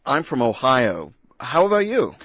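Eager young student: husky, animated, asks a lot of questions. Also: male voice, medium pitch, a little slow, no echo, full character voice. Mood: friendly. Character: friendly young male college student from a small town. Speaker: dialogue actor. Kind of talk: scripted radio lesson dialogue.

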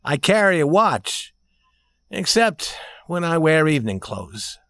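A man voicing a troubled, wry young gentleman who is losing hope, in a storybook reading. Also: a little high, big pitch swings, measured pace, vocal fry, no room sound, clean studio sound, pitched above the performer's own voice, full character voice. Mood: curt, polite.

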